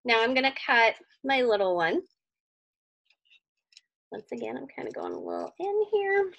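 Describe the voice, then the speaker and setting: A woman's voice: fairly high pitch, wildly swooping pitch, normal speed, vocal fry, a bit bright. A woman giving a casual step-by-step craft tutorial for children, chatting and thinking aloud in a reassuring way. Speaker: craft teacher. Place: indoor room at home.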